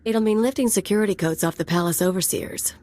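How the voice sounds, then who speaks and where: An actress playing a brash, ambitious young mercenary in a video game, with a sly, sardonic drawl. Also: female voice, mid-range voice, quick pace, darkish tone, lightly acted. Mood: wry, amused. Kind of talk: video game character dialogue.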